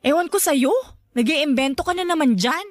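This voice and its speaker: A woman's voice: sits high, moderate pace, no room sound, natural voice. A woman in a radio drama playing a teasing young shopkeeper's daughter with exasperated banter. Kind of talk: Tagalog radio drama acting.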